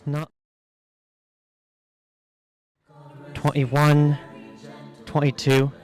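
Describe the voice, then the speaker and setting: A man acts a timid, put-upon clerk in a radio drama. He speaks slowly in a fairly high male voice, hesitant and deferential, with music in the recording. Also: completely flat delivery, low-quality recording. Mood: absorbed, murmuring. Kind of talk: radio play acting.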